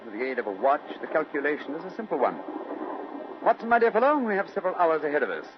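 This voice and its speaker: An actor playing a keen, precise British gentleman detective in an old radio drama. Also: on the high side, very animated, fast, background noise. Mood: brisk, keen. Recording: muffled old radio recording, music behind.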